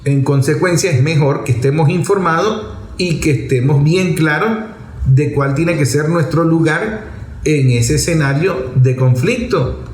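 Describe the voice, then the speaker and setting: A man's voice: on the high side, measured pace, a little mellow, slight background noise. A man giving a political commentary monologue in an emphatic, didactic way, repeating phrases for effect. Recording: echoey room with music underneath. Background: music.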